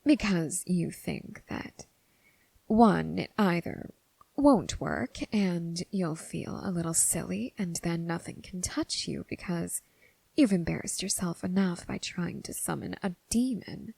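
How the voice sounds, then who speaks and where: A woman voicing a bored, mocking female demon in an ASMR roleplay, half-whispering in a languid, condescending way with a husky, creaky voice. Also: lowish voice, darkish tone, dry recording, heavily acted. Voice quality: breathy, husky, with creaky fry. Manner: languid, condescending, drawn-out phrasing with sighs.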